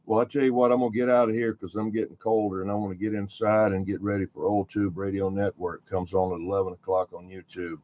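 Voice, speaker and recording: Man, low voice, folksy CB operator, casual relay chatter, thin phone-like audio. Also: flat delivery, fast talker, few pauses. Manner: folksy, uses CB lingo. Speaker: CB radio operator relaying calls. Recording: tinny phone-like sound, clear background noise.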